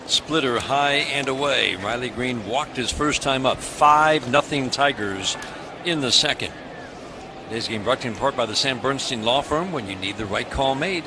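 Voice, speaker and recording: An American man calling a baseball game live as a radio play-by-play announcer. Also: medium pitch. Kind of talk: live radio sports commentary. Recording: stadium ambience noise behind the voice. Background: ballpark ambience.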